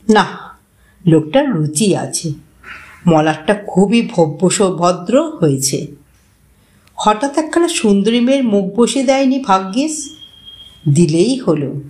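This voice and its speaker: A woman reading a literary short story expressively, in a measured way, as an audiobook narrator. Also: mid-range voice, big pitch swings, a little mellow.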